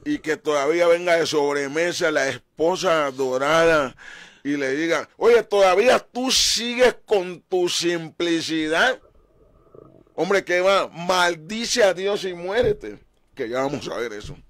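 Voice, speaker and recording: A man's voice: on the high side, expressive, measured pace, fairly bright tone, no room sound. A middle-aged man hosting a faith talk show, preaching emphatically with dramatic rises in his voice, at a desk mic in a small studio. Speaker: pastor and show host. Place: small studio with painted backdrop. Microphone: desk mic on stand.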